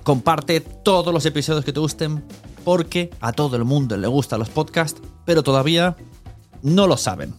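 A man's voice: fairly high pitch, moderate pace, faint music behind. A man with an accent from Spain talks casually and directly to the listener as a podcast host. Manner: chatty, direct address to listener.

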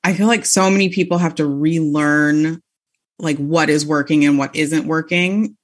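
Woman guest author, lowish pitch, chatty and filler-heavy, easy laugh. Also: fairly even intonation, normal speed. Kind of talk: casual interview chat.

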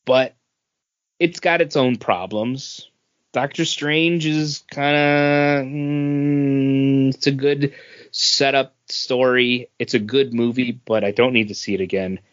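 A man's voice: mid-range voice, steady tone, takes it slowly, clear, resonant tone, dry recording. Guy podcasting, casual rambly opinions, kinda hesitant, dry slightly muffled mic. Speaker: podcast co-host. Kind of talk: casual opinion chat about movie rankings.

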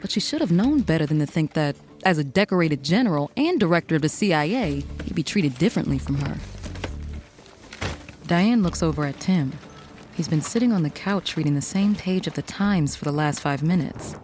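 A woman's voice: low-pitched, lively intonation, moderate pace, a little mellow, soft background music. A woman reading scripted, dramatic story narration for a fiction podcast.

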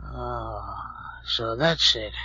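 A rough, edgy drunk from an old radio mystery. He is bewildered and grumbling, full of ungrammatical slang and hesitations. The recording is muffled and dry. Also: medium pitch, very slow, pushed and bright, no room sound, heavily acted. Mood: indignant, suspicious. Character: hungover drunk small-time saloon regular, surly and bewildered.

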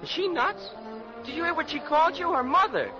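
Casting: naive gee-whiz college kid, high and very animated, slangy radio-comedy delivery, muffled vintage recording. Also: male voice, a little fast, music in the background. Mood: astonished. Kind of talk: 1940s radio comedy acting.

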